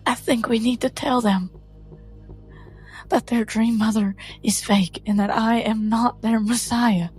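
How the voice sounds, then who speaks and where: A woman playing a gentle, earnest young woman who is a reluctant heroine. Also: a little high, steady tone, a little slow, faint music behind, natural voice. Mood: resolute, tired.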